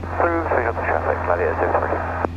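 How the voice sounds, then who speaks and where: A man's voice: on the low side, sing-song, fast. A man making brief pilot's calls over aircraft radio. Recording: muffled radio, loud engine noise.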